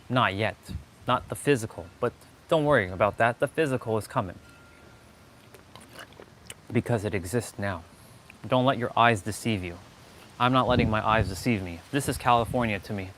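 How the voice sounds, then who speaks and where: A man's voice: lowish voice, moderate pace, pauses often, fairly bright tone. A man with a Canadian accent giving an impromptu, insistent motivational monologue on a livestream, repeating phrases for stress. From Toronto, Canada. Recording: clearly noisy outdoor ambience.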